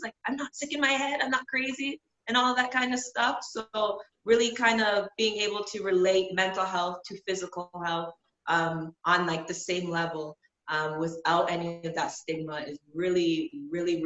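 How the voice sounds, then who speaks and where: A woman on a discussion panel who talks in a relaxed, conversational way with lots of ums and likes. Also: mid-range voice, normal speed. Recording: roomy, dull lo-fi audio.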